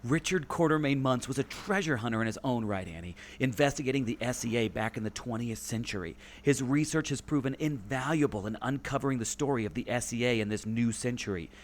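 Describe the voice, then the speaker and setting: A man acting an eager, clue-spotting adventurer in a scripted radio play, expressive and theatrical. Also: medium pitch, fairly quick, few pauses, slight background noise, lightly acted.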